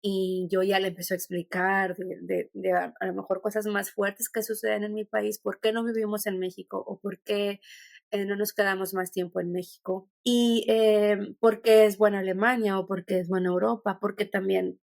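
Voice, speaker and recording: A woman's voice: medium pitch, flat delivery, moderate pace, creaky, darkish tone, no echo, studio quality. A Mexican woman with a Mexican accent, a podcast guest talking thoughtfully and meandering, with many restarts. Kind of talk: conversational podcast interview.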